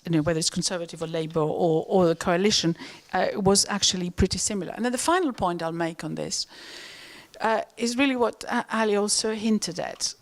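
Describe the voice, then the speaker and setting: A middle-aged woman with a Greek accent, speaking as an economist on a conference panel, earnest and outspoken with many fillers. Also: lowish voice. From Greece.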